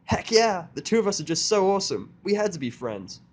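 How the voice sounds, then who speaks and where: Man putting on a high, cocky young female pegasus pony. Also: sing-song, brisk. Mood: boastful. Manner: boastful, eager.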